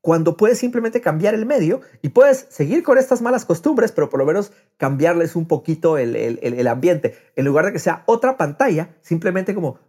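A man's voice: fairly high pitch, sing-song, moderate pace, few pauses, a little mellow. A man chatting casually on a podcast, dropping English phrases into his speech and acting out imagined quotes. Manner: drops English phrases into Spanish. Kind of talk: casual conversational podcast talk.

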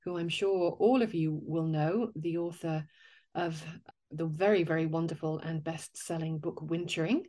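A middle-aged woman hosting a conversational interview, speaking in measured phrases. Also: deep voice, fairly even intonation, mellow. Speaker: podcast host and interviewer. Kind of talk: conversational interview with prepared introduction.

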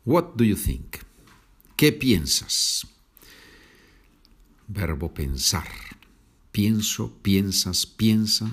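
Low-voiced Spanish-accented male teacher, slow, patient, carefully enunciated drills. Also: slightly rough. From Spain. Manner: patient, enunciates slowly, repeats phrases. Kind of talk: slow, repetitive language lesson.

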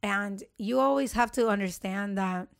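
A woman with a deep voice, speaking bluntly and emphatically in a casual chat. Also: normal speed, smooth, dry recording, studio quality.

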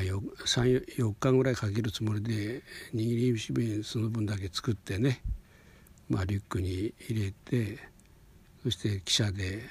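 An elderly man in his eighties from Hokuriku, Japan, recounting personal memories in an unscripted, halting, meandering way with a husky, gravelly voice. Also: lowish voice. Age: 80s. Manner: halting, meandering, with sentence-final softeners.

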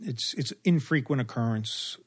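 Older man, surgeon, measured, repeats words, casual explaining, middle pitch. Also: slightly rough. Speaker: surgeon guest.

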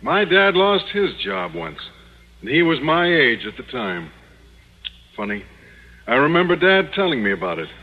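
An earnest, forlorn man, swooping pitch. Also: big pitch swings, normal speed, vocal fry, pauses often, heavily acted. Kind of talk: 1940s-50s radio sitcom acting. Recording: tinny, narrowband old radio recording.

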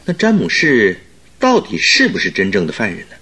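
Husky, eager detective's companion. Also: male voice, lowish voice, wildly swooping pitch, fairly quick, bright, forceful tone, full character voice. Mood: puzzled, curious. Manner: inquisitive, chuckling, exclamatory. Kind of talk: Mandarin radio drama acting.

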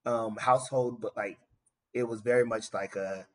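A man's voice: fairly low pitch, steady tone, moderate pace. A man co-hosting a casual podcast chat, earnest and thoughtful, with many fillers.

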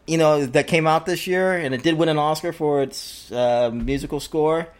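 A man's voice: fairly high pitch, unhurried. A man co-hosting a casual film discussion, hesitant, with many fillers and offhand swearing. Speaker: podcast co-host.

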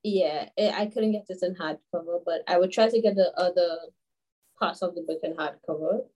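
A woman in her twenties from Grenada, a guest telling stories in a casual interview, chatty and animated with many fillers. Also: on the low side, normal speed, highs cut off. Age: 20s. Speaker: guest, children's author.

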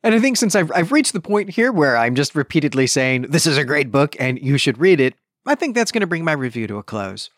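A man's voice: on the high side, very animated, fairly quick, hardly any pauses, no room sound, studio quality. A man hosting a book review podcast, speaking in an emphatic, opinionated and conversational way. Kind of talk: podcast book review, reading passages and commenting.

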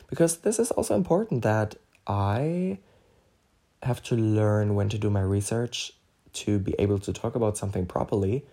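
A man's voice: lowish voice, animated, normal speed, mellow. A man with a German accent, whose first language is German, hosting a casual solo podcast in a rambling way, with lots of "so, yeah" and "like". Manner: rambling, frequent fillers like "so, yeah" and "like". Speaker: podcast host.

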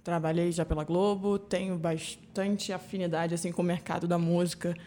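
A woman guest speaking in a measured, somewhat hesitant way during a casual interview. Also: lowish voice, level tone, mellow, a little hiss or hum.

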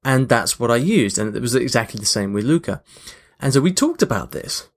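A man's voice: mid-range voice, big pitch swings, a little fast. A man hosting a podcast in a casual, chatty monologue, reflective and emphatic on key points. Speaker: podcast host.

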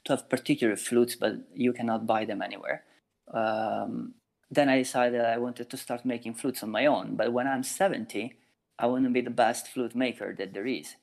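Thoughtful man in his forties, plain pitch. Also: fairly even intonation, normal speed, gravelly, darkish tone. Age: 40s. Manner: thoughtful, explains with everyday examples, frequent restarts. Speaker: meditation teacher and flute maker. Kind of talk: casual interview answers.

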